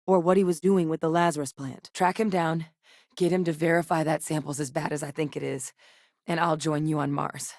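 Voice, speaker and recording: A brisk, dry military scientist. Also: female voice, low voice, steady tone, a little mellow, no room sound. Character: tough, no-nonsense female military scientist. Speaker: game character voice lines. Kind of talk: video game dialogue.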